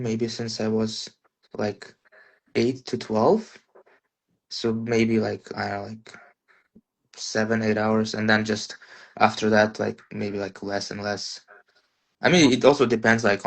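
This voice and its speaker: A man's voice: fairly low pitch, gravelly, poor audio. A man in his twenties from Uzbekistan, a native Russian speaker, chatting easygoing as a guest pianist over a phone live stream. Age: 20s. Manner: easygoing, self-deprecating, filler-heavy. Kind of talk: casual interview chat.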